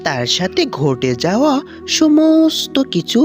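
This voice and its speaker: A woman's voice: mid-range voice, very animated, takes it slowly. A woman narrating a scripted cartoon story. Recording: music behind the voice.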